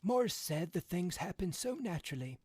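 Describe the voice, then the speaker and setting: Husky, agitated old father, as a male reader plays him. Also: sits high, very animated, normal speed, a little mellow, pitched above the performer's own voice, full character voice. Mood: earnest. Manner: agitated, insistent. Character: excitable, stubborn old father startled by a talisman. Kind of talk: audiobook character voice.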